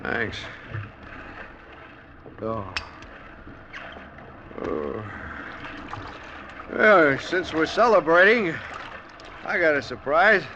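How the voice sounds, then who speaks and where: An actor playing a wry, lonely castaway in an old radio drama. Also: male voice, fairly high pitch, sing-song, drawn-out words, long pauses, muffled recording, noisy background, heavily acted. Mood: wry, cheerful. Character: wry, lonely shipwreck survivor in a lifeboat. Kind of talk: 1940s-50s radio drama acting.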